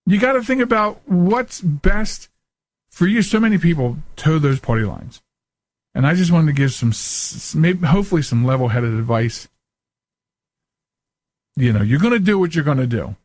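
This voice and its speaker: An American man with a slightly high voice talks as a podcast host. His delivery is casual and unscripted, earnest and emphatic, circling back over his phrases. The sound is dry and close. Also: lively intonation, normal speed, darkish tone, no echo. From United States. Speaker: talk show host.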